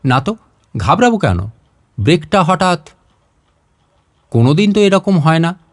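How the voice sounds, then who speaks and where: A man's voice: high voice, sing-song, a little slow, no echo, clean studio sound. A man voicing an earnest, touchy, easily flustered young man in a story reading. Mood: defensive, flustered.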